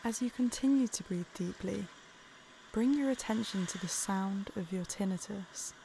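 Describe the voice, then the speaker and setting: A woman narrating a guided meditation in a calm, soothing, unhurried way. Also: medium pitch, vocal fry, a little hiss or hum.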